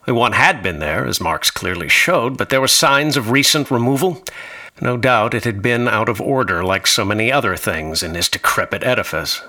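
An actor playing an anxious, bookish traveler, narrating his ordeal in a radio drama with formal, uneasy wording and a husky voice. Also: mid-range voice, lively intonation, normal speed, bright, forceful tone. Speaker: narrator and lead character. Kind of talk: scripted first-person radio drama narration.

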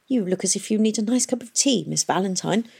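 Woman doing a flustered old guild fellow, pitched up, bumbly n a bit tetchy, audiobook. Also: animated, fairly quick, vocal fry, dark tone, husky, exaggerated voice. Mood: kindly concern. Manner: bumbling, mumbling, hesitant, snappish. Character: flustered, fussy old guildsman, easily irritated.

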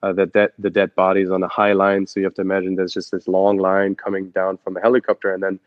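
A man's voice: deep voice, monotone, fast talker, no room sound, muffled recording. A young man from Germany with a German accent, telling his story as an interview guest with many fillers and restarts. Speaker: guest, adventure photographer and expedition guide.

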